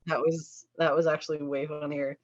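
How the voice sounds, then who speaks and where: Low-pitched woman chatting, dull lo-fi sound. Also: level tone, moderate pace. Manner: giggly, laughs while talking. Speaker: table read cast member. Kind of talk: casual group discussion. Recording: dull, lo-fi audio.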